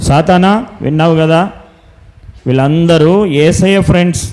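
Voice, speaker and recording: A man's voice: on the high side, normal speed, darkish tone. A man hosting a children's Bible school puppet show, speaking in an instructive, scolding way as he acts out the dialogue. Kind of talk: scripted puppet show dialogue with simple preaching.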